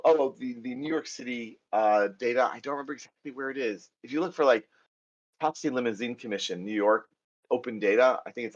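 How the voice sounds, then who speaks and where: A middle-aged American man with a husky, fairly low voice runs a live coding lesson. He talks in a chatty, self-deprecating way and thinks aloud while typing. The sound is muffled, lo-fi call audio. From United States. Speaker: programming instructor.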